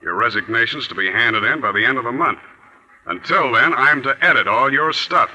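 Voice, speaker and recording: A man's voice: medium pitch, lively intonation, brisk, sharp tone, poor audio. An actor playing a smug, needling newspaper editor who gloats over his rival in a 1940s radio drama. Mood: smug triumph. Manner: needling, mock-soothing. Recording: old 1940s radio broadcast recording.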